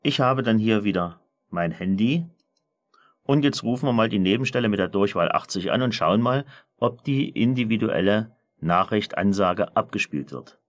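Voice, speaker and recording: A man presenting a software screencast tutorial, explaining methodically step by step in a semi-spontaneous walkthrough. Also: fairly low pitch, animated, normal speed.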